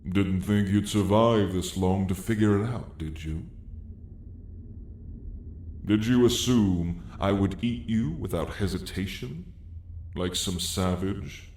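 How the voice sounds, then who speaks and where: A man voicing a haughty, sardonic dragon in a slow, condescending, theatrical drawl for an ASMR roleplay story. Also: low-pitched, darkish tone, echoey room, a little hiss or hum. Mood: scornful amusement. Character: haughty, sardonic dragon, apex predator of a mountain.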